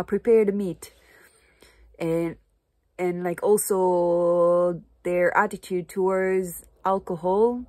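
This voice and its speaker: A woman in her early thirties with a Japanese accent speaks casually to camera. Her voice sits a little low and her pace is slow and halting as she searches for words in her second language. The sound is dry and close, picked up at arm's length. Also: a little mellow, no room sound. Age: early 30s. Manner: halting, searching for words in non-native English. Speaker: vlogger, Japanese language teacher. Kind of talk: casual unscripted talk to camera. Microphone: phone or camera at arm's length.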